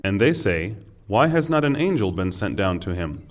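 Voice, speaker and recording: A man reading a scripture translation aloud as narrator, in solemn, measured phrases. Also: on the low side, big pitch swings.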